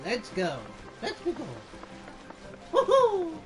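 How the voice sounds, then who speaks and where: A man's voice: extremely high pitch, huge pitch swings, takes it slowly, over loud music. A man giving casual, playful gameplay commentary as host, talking to himself as he plays. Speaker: gamer, channel host.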